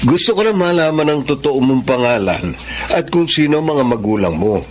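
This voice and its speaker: A man playing a genial, grateful well-off man in a Filipino radio drama, brisk and conversational. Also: medium pitch, lively intonation, slight background noise, lightly acted. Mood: friendly, insistent.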